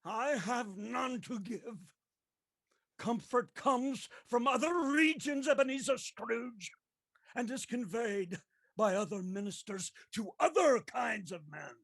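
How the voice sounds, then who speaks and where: A tormented male ghost of a dead miser in a theatrical radio play. The voice is very high and creaky, dragging slowly through mournful declamations. It moans with remorse and stretches out its cries of woe. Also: animated, a bit bright, heavily acted. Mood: weary, sorrowful. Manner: slow, declamatory, mournful, moaning drawn-out cries.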